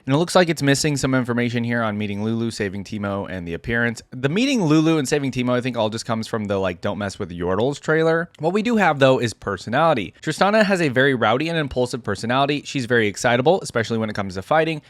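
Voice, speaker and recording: A man's voice: medium pitch, expressive, fast, hardly any pauses, no room sound. A man giving casual reaction commentary as host of a game lore video, reading bits aloud between remarks. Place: close, dry indoor recording.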